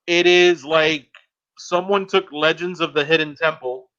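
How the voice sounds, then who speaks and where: A man co-hosting a podcast in casual group chat, speaking haltingly as he thinks aloud. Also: fairly high pitch, fairly even intonation, bright, forceful tone. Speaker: podcast co-host. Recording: dull, lo-fi voice chat audio.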